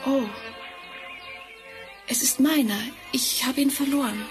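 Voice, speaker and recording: An actress playing a gentle, modest young heroine in a fairy-tale audio play, soft and wondering. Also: female voice, high voice, fairly even intonation, takes it slowly, over music. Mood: delighted wonder.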